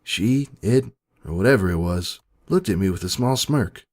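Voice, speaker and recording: A man's voice: low voice, lively intonation, moderate pace, darkish tone. A man reading scripted first-person story narration in a dramatic, emotive way.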